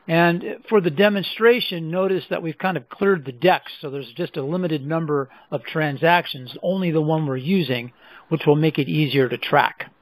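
A man presenting a software demonstration in scripted, explanatory narration with measured phrasing. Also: a little high, low-quality recording. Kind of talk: scripted product demo narration.

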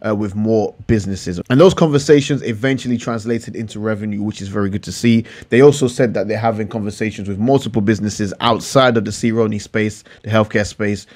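A man's voice: fairly low pitch, fairly quick, hardly any pauses, a little mellow. Man giving emphatic informal commentary. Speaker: finance YouTuber. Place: indoor room at a computer.

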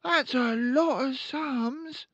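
A man voicing an eager, dutiful young son in a storybook, slow and obedient. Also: extremely high pitch, fairly bright tone, husky, no room sound, muffled recording, voice pushed up, full character voice. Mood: eager, awed. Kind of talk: storybook read-aloud character voice.

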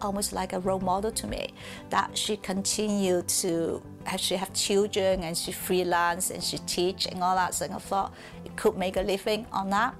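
A middle-aged woman from southern China with a Chinese accent, telling her life story candidly in an interview. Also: mid-range voice. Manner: candid, reflective, laughs softly now and then. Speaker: musician.

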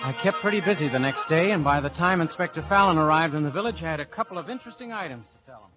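Man doing a breezy young narrator, slangy, fairly high voice, muffled old radio, faint music. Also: expressive, rapid-fire, lightly acted. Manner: slangy first-person storytelling. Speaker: narrator and lead character. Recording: dull 1950s radio broadcast.

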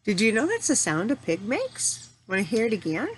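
A woman in her forties reading aloud to young children as their teacher, phrasing things simply and deliberately and asking them questions. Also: mid-range voice. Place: home room in front of a large window. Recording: steady noticeable background noise.

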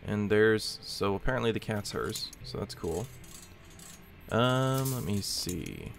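Chatty low-voiced let's-play man. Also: takes it slowly, creaky, a little hiss or hum. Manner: relaxed, chatty, reacts aloud. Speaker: gameplay commentator. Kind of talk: casual let's-play commentary.

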